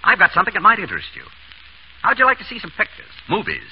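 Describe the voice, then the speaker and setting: An actor playing a wry, decisive doctor turned amateur detective in a crisp, authoritative 1930s radio drama style. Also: male voice, sits high, wildly swooping pitch, fast talker. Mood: deliberate, pointed. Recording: dull, noisy 1930s radio recording.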